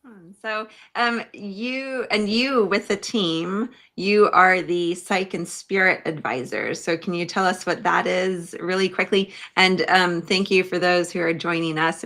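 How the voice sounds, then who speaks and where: A woman's voice: fairly low pitch, a bit bright. A woman hosting a conversational livestream interview, putting questions to a guest. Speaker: podcast livestream host.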